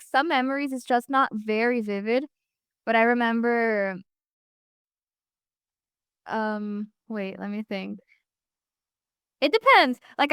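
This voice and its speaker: A woman's voice: fairly high pitch, a bit bright, clear, resonant tone, no room sound. Young woman, lots of likes and restarts, dry close sound. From United States. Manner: hesitant, rambling, many fillers and restarts. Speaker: guest. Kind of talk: casual interview chat.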